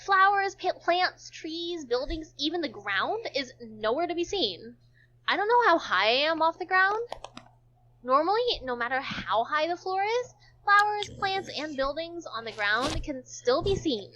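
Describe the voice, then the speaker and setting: High-pitched animated woman reading a visual novel aloud. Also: measured pace, highs cut off. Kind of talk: casual read-aloud of game text with joking asides.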